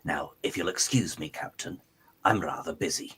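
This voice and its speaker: Guy doing smug mad scientist bad guy, lowish voice, taunting and theatrical, radio play. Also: moderate pace, fairly bright tone, breathy edge, full character voice. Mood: mocking. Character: smug, philosophical male mad-scientist villain, coldly obsessed. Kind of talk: amateur radio theatre script read.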